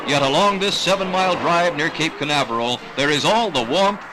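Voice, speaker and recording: An American man reading scripted 1960s newsreel narration in a dramatic, measured announcer delivery. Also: fairly high pitch, expressive, noisy background. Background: music.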